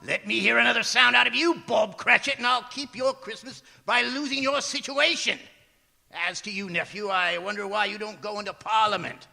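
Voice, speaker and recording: A man's voice: high voice, moderate pace, vocal fry, sharp tone, heavily acted. An actor playing a miserly, cantankerous old Victorian businessman in a radio drama, with a scornful, barking delivery. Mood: irritable, dismissive. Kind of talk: stage radio drama acting. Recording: reverberant theatre hall recording.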